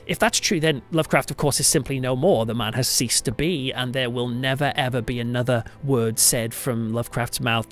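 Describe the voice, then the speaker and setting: A British man hosting a podcast, giving a reflective monologue in a conversational, thoughtful and emphatic way. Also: medium pitch. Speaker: podcast host, church pastor. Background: music under the voice.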